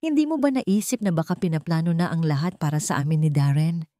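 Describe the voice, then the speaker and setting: A woman's voice: deep voice, quick pace, mellow, dry recording, studio quality, close to an everyday voice. Woman voicing a smirking, taunting mistress. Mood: mocking. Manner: mocking. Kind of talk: audio romance story dialogue.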